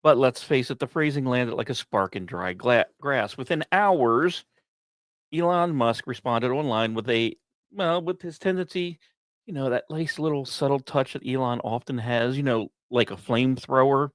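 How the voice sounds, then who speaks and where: A man hosting a podcast, reading scripted narration in a measured, dramatic storytelling way with occasional conversational asides. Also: mid-range voice, dry recording, studio quality. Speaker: podcast host.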